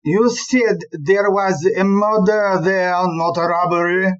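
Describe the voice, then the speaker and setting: A woman acting in an audio play as a smooth-talking, dismissive male police chief inspector who is a philanderer. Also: on the low side, fairly even intonation, takes it slowly, lo-fi sound. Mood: startled.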